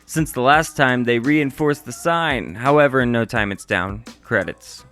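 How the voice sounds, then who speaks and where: A man's voice: mid-range voice, a little slow, a bit bright, soft background music. A man narrating a scripted review as the reviewer.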